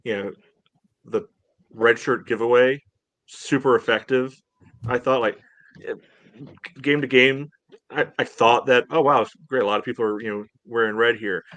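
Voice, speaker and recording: A man's voice: mid-range voice, fairly even intonation, moderate pace, pauses often, a bit bright, dry recording. A man co-hosting a sports podcast, talking casually in short bursts broken up by filler phrases. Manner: peppers talk with "you know". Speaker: soccer podcast co-host.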